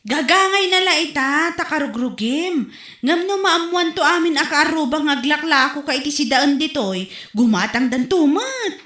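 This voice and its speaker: A woman's voice: sits high, expressive, moderate pace, a bit bright. An actress playing a chatty, gossiping neighbour woman in a comedy audio drama, speaking with an Ilocano accent from the northern Philippines. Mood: chatty, reluctant. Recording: echoey room.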